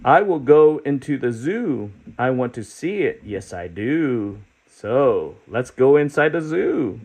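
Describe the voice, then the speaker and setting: A man's voice: medium pitch, sing-song, slow pace, darkish tone, pitched above the performer's own voice, full character voice. A man voicing an eager spotted creature. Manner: sing-song rhyming, eager. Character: cheerful spotted creature longing to stay.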